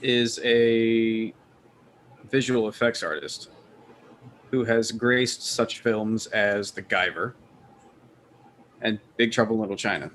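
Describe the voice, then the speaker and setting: A man's voice: on the low side, slow pace, long pauses, a bit bright. Man explaining casually, slight background noise. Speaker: podcast panelist.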